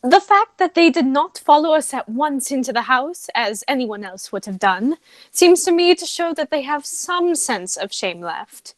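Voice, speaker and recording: A woman's voice: high voice, animated, moderate pace, dry recording. A woman acting a haughty, dignified young society lady in a radio play comedy, speaking in a formal, declarative way.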